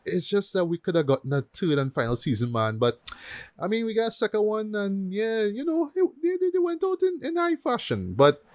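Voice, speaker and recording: A man's voice: high-pitched, very animated, brisk, no room sound. A man hosting a podcast, chatting casually through his picks and often tagging statements with "right?" and "you know". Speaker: podcast host presenting a best-of list. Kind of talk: casual podcast chat about TV shows.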